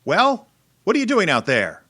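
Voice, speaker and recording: A man's voice: sits high, huge pitch swings, moderate pace, bright, forceful tone, slightly rough, no room sound, heavily acted. A man acting a callous, impatient newspaper publisher in an old-time radio drama, brusque and imperious. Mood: angry, impatient. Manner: brusque, imperious, barking orders.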